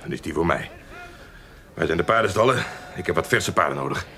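A man's voice: low voice, animated, brisk, creaky, pushed and bright, full character voice. A man playing a brusque, arrogant army captain in a historical radio drama, curt and imperious.

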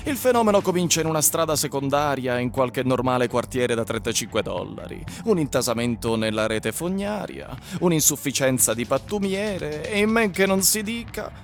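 An actor playing a grim train passenger in a radio drama, telling of desolation in measured, literary phrases. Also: male voice, expressive. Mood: grim, insistent. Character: grim male fellow train passenger telling of dying cities. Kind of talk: theatrical radio drama acting and storytelling. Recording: music under the voice. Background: plucked guitar or bass music.

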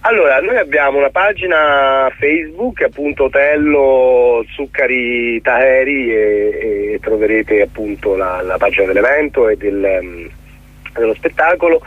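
A middle-aged man giving a reflective radio interview as a theatre guest, articulate but often pausing to search for words. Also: mid-range voice, dry recording. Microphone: telephone. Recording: muffled, thin telephone line on radio.

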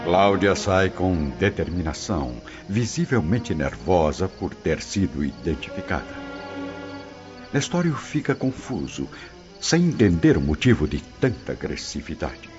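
A man's voice: low voice, sing-song, unhurried. A man narrating a radio drama in a theatrical, scripted style. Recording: dull lo-fi audio with music behind.

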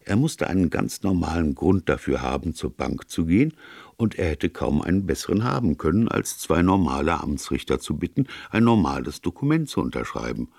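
Guy doing a gentle clever priest explaining stuff in an audiobook, deep and gravelly. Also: very animated, fairly quick, no room sound, studio quality, exaggerated voice. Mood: calm, knowing. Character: gentle, shrewd male priest detective.

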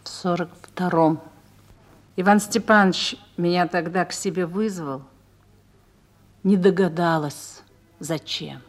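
A woman's voice: lowish voice, fairly even intonation, a little slow, long pauses. A woman playing a restrained, grieving older mother of a soldier in a war radio drama. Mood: grieving, subdued.

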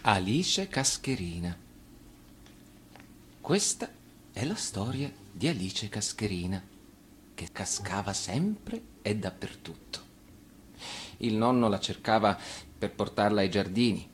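A man reading a children's tale as storyteller, in an expressive scripted narration. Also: fairly low pitch, slow pace, pauses often, a little hiss or hum.

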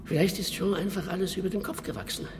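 Middle-aged wine merchant, earnest, talkative, slightly high voice, long reverb, radio play. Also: male voice, steady tone, quick pace. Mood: worried, earnest. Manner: earnest, explanatory. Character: earnest, talkative wine merchant, worried father of grown son. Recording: reverberant cellar-like room, faint noise.

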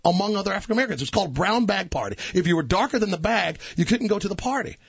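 A man in his sixties talking sports on the radio, lively and teasing, telling stories. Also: sits high, racing, creaky, breathy edge, no echo, highs cut off. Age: 60s. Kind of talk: sports talk radio banter.

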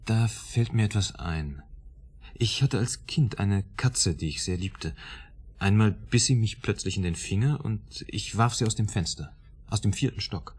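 Deep-voiced, proud, troubled therapy patient. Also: male voice, lively intonation, a little slow, darkish tone, slightly rough. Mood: reflective, grave. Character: proud, troubled male psychotherapy patient, earnest loner. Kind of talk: German radio drama acting.

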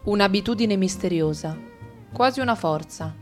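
A woman's voice: mid-range voice, expressive, moderate pace, soft background music. Italian woman reading with ironic bite. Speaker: radio drama reader. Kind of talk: expressive dramatic reading.

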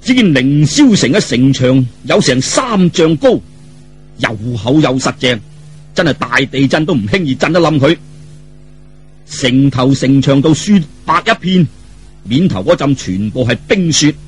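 A man's voice: medium pitch, lively intonation, unhurried, breathy edge. A man with a Cantonese accent narrating a martial arts tale in a colloquial, dramatic traditional storytelling style, with asides to the listener. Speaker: audiobook storyteller.

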